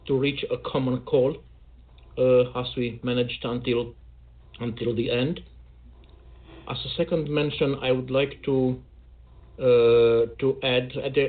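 A man hosting a poetry show over a video call, speaking in a measured, formal way with dry self-mockery. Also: medium pitch. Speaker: host. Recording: tinny call audio.

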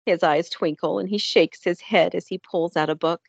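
A woman's voice: fairly low pitch, fairly even intonation, normal speed, vocal fry, dry recording, dull audio. Woman narrator with a dry, close sound. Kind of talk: scripted radio play narration.

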